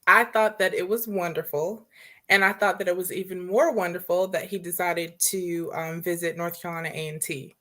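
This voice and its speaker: A woman's voice: fairly low pitch, fairly even intonation, moderate pace, pushed and bright. A young woman from Trinidad and Tobago, a political candidate, answering interview questions in an earnest way and laughing easily. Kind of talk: interview conversation.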